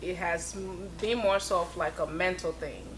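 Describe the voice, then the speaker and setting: A woman's voice: lowish voice, normal speed, slight background noise, rough recording. Chatty woman telling her story. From Europe. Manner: chatty, laughs often, gives shout-outs. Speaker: interview guest, singer. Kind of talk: casual storytelling interview.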